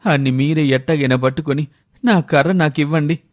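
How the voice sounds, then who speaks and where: A man's voice: fairly high pitch, fairly even intonation, fairly quick, no room sound, clean studio sound, close to an everyday voice. A man playing an anxious, pleading villager with a plaintive manner in a moral story. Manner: plaintive, faltering when cornered. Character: anxious, pleading villager lying about his stolen walking stick. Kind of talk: acted dialogue in a moral story.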